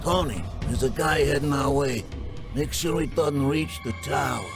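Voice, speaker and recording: A gruff, gravelly mobster. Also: male voice, on the high side, moderate pace. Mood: alert, commanding. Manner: blunt gangster talk. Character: male mob figure, gruff, commanding, accusing. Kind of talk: cartoonish video game voice acting. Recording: loud music under the voice. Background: loud game soundtrack music.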